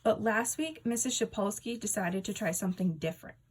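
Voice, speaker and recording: A woman narrating a children's book aloud in an expressive storytelling style. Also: mid-range voice, a little fast, breathy edge. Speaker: storybook reader.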